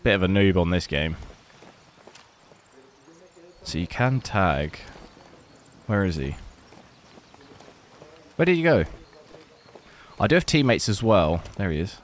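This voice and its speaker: A man with a hoarse, lost voice, hosting a PC gaming channel and commenting casually while he plays, thinking aloud. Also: low-pitched, slow, long pauses, a little hiss or hum. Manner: relaxed, rambling, thinking aloud. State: hoarse, losing his voice. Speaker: PC gaming benchmark channel host.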